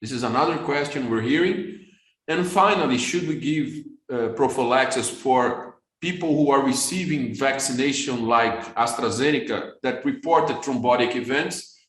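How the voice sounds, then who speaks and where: A man with a Brazilian Portuguese accent, a vascular surgeon giving a fast webinar lecture in English that is not his first language, often repeating words as he restarts. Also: medium pitch, fairly bright tone, roomy sound, poor audio.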